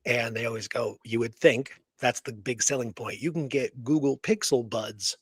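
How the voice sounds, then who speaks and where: A man's voice: medium pitch, normal speed, slightly rough, no room sound. Man in his forties, casual dry-sounding co-host. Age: 40s. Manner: colloquial, rambling. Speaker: podcast co-host. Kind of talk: casual chat.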